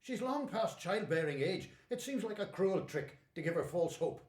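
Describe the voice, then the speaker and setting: An actor playing a startled, doubting old priest in a radio drama, with a hesitant, stammering manner. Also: male voice, high-pitched, lively intonation, normal speed, darkish tone, roomy sound. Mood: doubtful. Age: older.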